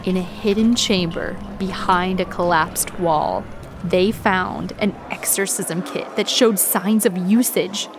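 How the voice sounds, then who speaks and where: A woman playing a historian tour guide, narrating scripted history in a measured, dramatic storytelling style. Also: lowish voice, music in the background. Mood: ominous. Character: female historian tour guide telling eerie city history.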